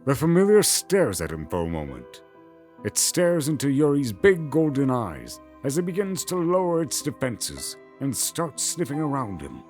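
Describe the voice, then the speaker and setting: A man's voice: medium pitch, wildly swooping pitch, normal speed, a little mellow, faint music behind. A man narrating a scripted fantasy audio drama in the present tense.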